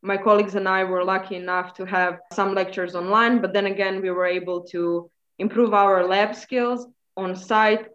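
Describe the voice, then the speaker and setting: A woman's voice: mid-range voice, steady tone, normal speed, few pauses, a bit bright. Young woman student, lo-fi. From Croatia. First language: Croatian. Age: young adult. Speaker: undergraduate student guest. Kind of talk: casual interview answers.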